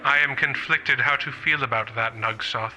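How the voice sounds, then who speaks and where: Man playing an earnest, sharp-voiced professor. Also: medium pitch, normal speed, a little hiss or hum, poor audio. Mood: troubled. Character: thoughtful, morally troubled human professor among aliens. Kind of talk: radio play acting.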